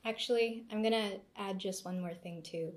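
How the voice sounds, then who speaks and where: A woman, a guest in an interview, speaking thoughtfully and reflectively with searching pauses. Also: medium pitch. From Canada. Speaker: interview guest, former seminar student. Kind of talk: casual conversational interview.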